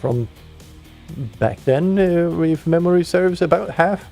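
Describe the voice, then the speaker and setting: A man's voice: fairly high pitch, slow pace, vocal fry, dark tone, soft background music. A man from Sweden co-hosting a casual radio chat, teasing in a dry way. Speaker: radio co-host.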